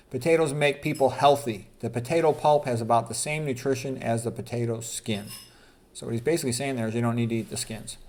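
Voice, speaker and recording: A man presenting as a physician, reading quotes aloud in an informal health lecture and adding emphatic, opinionated comments, repeating points for stress. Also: mid-range voice.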